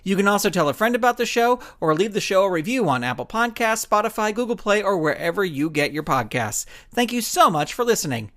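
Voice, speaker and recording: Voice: mid-range voice, big pitch swings, a little fast, few pauses, fairly bright tone. An American announcer reading scripted, upbeat credits for a children's audio show. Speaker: closing announcer.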